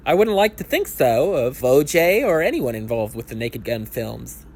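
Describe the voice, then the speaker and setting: A man's voice: mid-range voice, sing-song, normal speed, a little hiss or hum. A man voicing a tipsy, nervous and eager basketball fan in an audio play, hesitant, stammering and incredulous.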